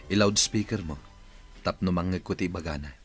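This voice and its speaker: An actor playing an ailing wealthy boss in a radio drama, guarded and decisive, with a husky voice. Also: male voice, low-pitched, moderate pace, a bit bright, faint music behind.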